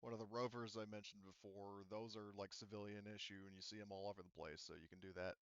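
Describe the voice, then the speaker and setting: A man's voice: on the low side, flat delivery, quick pace, fairly bright tone, clean tone, dry recording, highs cut off. A man casually running a tabletop game as game master, hesitating and thinking aloud as he gives rulings.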